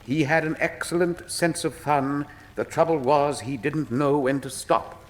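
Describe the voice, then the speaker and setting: An actor playing a cool, witty, condescending Victorian detective in a radio drama, with a British accent and precise, dry delivery. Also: male voice, on the high side, steady tone, unhurried, close to an everyday voice. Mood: dryly amused. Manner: precise, dry, lofty, theatrical. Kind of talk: full-cast radio drama acting. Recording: reverberant hall sound.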